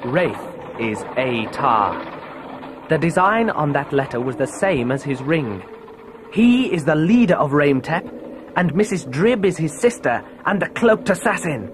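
A man's voice: fairly high pitch, very animated, measured pace, noisy background. An actor playing a clever, commanding, intense teenage boy detective in a dramatised audio storybook. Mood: intense, decisive.

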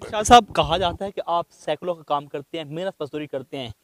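A man's voice: on the high side, fast talker, a bit bright. A man from Pakistan hosting a street interview, speaking in a formal, polite manner. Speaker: host.

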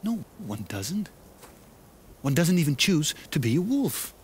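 An eccentric, ingratiating cartoon salesman, full of theatrical persuasion. His delivery is slow and his pitch roams widely. There is faint outdoor noise behind him. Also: very animated. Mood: coaxing, theatrical. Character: eccentric, coaxing salesman with grandiose promises.

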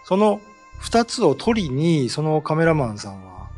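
A middle-aged man, the show's host, chatting about folklore in an animated storytelling manner, acting out sounds, in a small studio at a desk mic. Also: fairly high pitch, a little slow, soft background music. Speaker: host and ghost-story writer. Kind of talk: casual talk explaining folklore. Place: small studio set with bookshelf backdrop, indoors. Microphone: desk mic on a stand.

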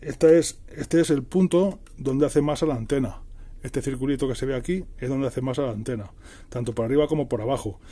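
A middle-aged man narrates a practical tutorial in a casual, explanatory way. His voice sits at an average pitch and is very dark and mellow. He often restates a phrase as he works through the steps. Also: fairly quick. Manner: explanatory, repeats and rephrases while working. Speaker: DIY tutorial host.

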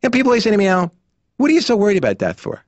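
A man's voice: sits high, wildly swooping pitch, very fast, dry recording. A man in his thirties playing a neurotic, worried cartoon patient, delivering emphatic stand-up style monologue. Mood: anxious, incredulous. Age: 30s. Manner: emphatic, worried, mimics others. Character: neurotic thirty-nine-year-old therapy patient, anxious about aging. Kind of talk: stand-up monologue in an animated sitcom. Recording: dull, muffled cartoon audio.

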